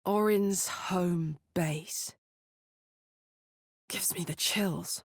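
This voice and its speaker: An actress voicing a blunt, battle-hardened but warm-hearted woman warrior in a video game. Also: fairly low pitch, extremely slow, dry recording, clean studio sound. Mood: low, uneasy. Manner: blunt, swearing, swinging between playful and intense. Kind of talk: video game character voice acting.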